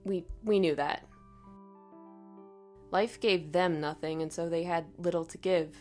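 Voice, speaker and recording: A woman narrating a scripted first-person horror story in a dramatic, expressive way. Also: fairly low pitch, unhurried, soft background music.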